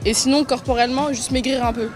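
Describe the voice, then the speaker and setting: Quick, hesitant young woman on the street. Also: high voice, steady tone, music in the background. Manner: hesitant, lots of fillers. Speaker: interviewee. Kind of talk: casual chat. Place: city street outdoors. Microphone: handheld mic.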